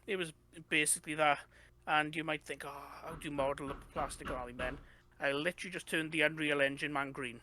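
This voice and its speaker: Young Welsh guy, slightly higher voice, loose rambling guest chat over a noisy background. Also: steady tone, a little fast, bright, forceful tone. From Wales. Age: young adult. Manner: rambling, many fillers and restarts. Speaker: guest game developer. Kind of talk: casual interview chat.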